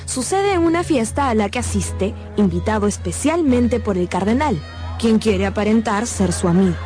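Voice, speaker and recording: A woman's voice: mid-range voice, lively intonation, moderate pace, darkish tone, soft background music. A woman narrator delivering scripted storytelling narration for a radio drama.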